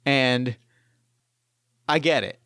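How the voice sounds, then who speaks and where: A man voicing a weary ancient woman warrior in a halting, solemn way during a tabletop roleplaying game. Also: medium pitch, gravelly, fairly bright tone, clear, resonant tone, dry recording, clean studio sound, exaggerated voice. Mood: weary, consoling.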